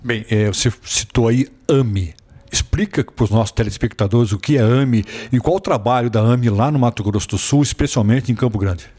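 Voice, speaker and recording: A man's voice: mid-range voice, fairly quick, a little mellow. A man hosting a spiritist TV program, welcoming a guest formally and asking questions with small hesitations. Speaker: program host interviewer. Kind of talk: TV interview.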